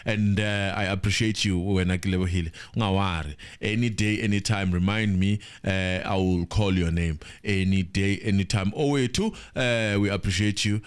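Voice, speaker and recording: A man's voice: sits low, normal speed, hardly any pauses, clear, resonant tone. A South African man hosting a casual live stream on a headset, jovial and apologetic, slipping into Zulu phrases. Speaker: host.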